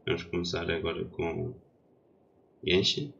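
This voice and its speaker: A young man with a very deep, gravelly voice, stuffy from a cold. He talks slowly and hesitantly, distracted as he fiddles with his stream. The home recording sounds dull and lo-fi, with faint noise behind him. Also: little pitch movement, bright, forceful tone. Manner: hesitant, distracted while setting up. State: congested from a cold. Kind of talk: casual gaming livestream chat.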